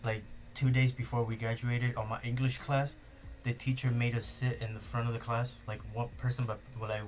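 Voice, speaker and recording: A man's voice: mid-range voice, level tone, a little fast, soft background music. A young man, a native Spanish speaker with a Spanish accent, chatting casually and rambling with lots of fillers on a podcast. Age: young adult. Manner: rambling, many fillers and restarts. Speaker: podcast host. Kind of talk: casual chat.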